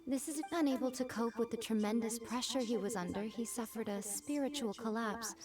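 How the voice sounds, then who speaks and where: A woman's voice: fairly high pitch, over music, lightly acted. A woman voicing a poised, mysterious noble shrine priestess in an anime game, speaking formally with wry amusement. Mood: grave. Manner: formal, measured, wryly amused. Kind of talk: anime video game voice acting.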